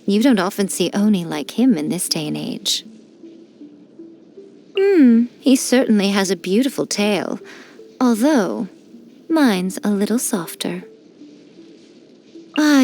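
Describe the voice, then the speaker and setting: A woman's voice: medium pitch, a little mellow. A woman voicing a mischievous fox-spirit shrine priestess in a game, playful and teasing. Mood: coy. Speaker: game character. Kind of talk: studio game voice lines.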